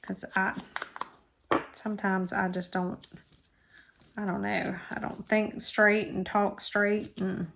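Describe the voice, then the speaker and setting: A woman's voice: mid-range voice, flat delivery, slow pace, gravelly, pauses often, a bit bright, slight background noise. A middle-aged woman from Florida with a South Georgia drawl, chatting and rambling at her craft table. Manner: rambling, self-deprecating, talks while crafting. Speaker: crafting channel host. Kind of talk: casual crafting chat.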